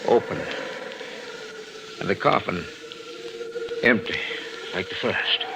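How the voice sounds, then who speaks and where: An actor playing a grave, measured small-town supervisor, deliberate and solemn, in an old-time radio mystery style. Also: male voice, sits low, animated, creaky, exaggerated voice. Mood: grave, stunned. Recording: noisy old radio drama recording.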